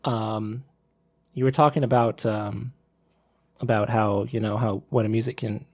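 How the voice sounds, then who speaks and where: A man's voice: fairly low pitch, fairly even intonation, a little slow, darkish tone, no echo. A man co-hosting a podcast in casual chat, hesitant with many fillers. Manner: hesitant, many "uh" and "um", asks questions. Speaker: podcast co-host.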